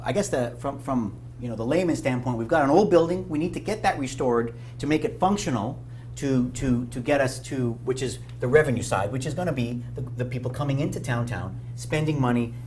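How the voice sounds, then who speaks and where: A man's voice: mid-range voice, lively intonation, fairly quick, darkish tone, slight background noise. A man hosting a local talk show, skeptical and jokey, often cutting in. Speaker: host.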